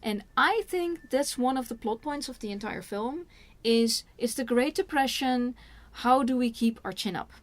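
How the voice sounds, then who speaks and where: A Dutch woman co-hosting a film review, retelling the story casually and dropping in quick interjections. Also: a little high. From Netherlands.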